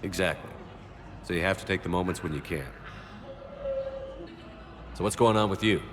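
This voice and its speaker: A man playing a calm, supportive military commander in a video game, speaking in a measured, understated way. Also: sits low, natural voice. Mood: level, attentive. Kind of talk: game dialogue acting. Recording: reverberant, faint music behind.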